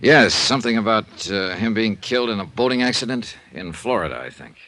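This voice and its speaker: Brisk, fast-talking radio investigator. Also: male voice, on the low side, animated, a bit bright, no echo. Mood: dry, casual. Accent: American. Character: brisk, wry male freelance insurance investigator. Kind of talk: 1940s-50s radio acting, first-person narration and dialogue. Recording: old radio drama with music behind.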